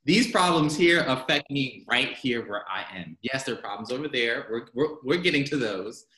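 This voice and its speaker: American man, guest on a chat show, bright voice, echoey room, laughs a lot. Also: mid-range voice, normal speed. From United States. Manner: laughs often, animated storytelling. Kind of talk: casual chat. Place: home in Berlin.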